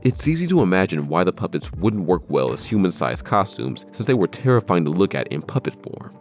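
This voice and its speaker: Very deep-voiced male narrator with lively intonation. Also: fairly quick, faint music behind. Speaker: narrator of a countdown video. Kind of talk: scripted narration.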